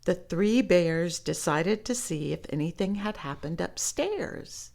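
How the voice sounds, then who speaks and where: A woman reading stories aloud to children in an expressive, conversational way, as if talking directly to young listeners. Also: lowish voice, slow, vocal fry. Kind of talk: read-aloud storytelling with chatty commentary.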